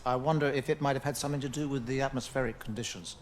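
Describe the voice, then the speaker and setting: An actor playing a mild, careful English village doctor in a naturalistic video game drama. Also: male voice, mid-range voice, steady tone, racing, slight background noise, lightly acted. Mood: concerned, hesitant.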